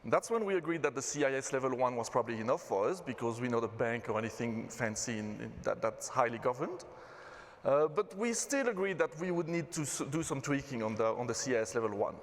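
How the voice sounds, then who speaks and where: A man from Belgium with a Belgian accent, giving a relaxed conference talk as a systems engineer. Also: medium pitch, fast. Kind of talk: conference presentation. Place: conference hall. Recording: reverberant hall.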